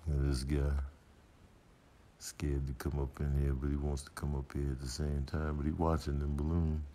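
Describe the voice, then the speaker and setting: A man's voice: rumbling low, monotone, normal speed, warm tone. A man giving casual, slangy, repetitive commentary on a home video indoors. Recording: faint background noise.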